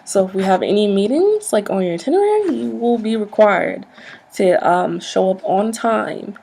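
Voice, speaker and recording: A soft-spoken woman in an ASMR roleplay, acting as a polite, businesslike job interviewer who explains things a little hesitantly. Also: medium pitch, expressive, normal speed, gravelly, slight background noise.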